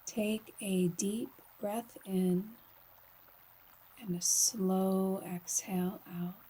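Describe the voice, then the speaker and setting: Breathy, very soft, slow-speaking woman guide. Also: medium pitch. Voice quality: soft, breathy, husky, often near a whisper. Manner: calm, soothing, unhurried with long pauses. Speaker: yoga nidra guide.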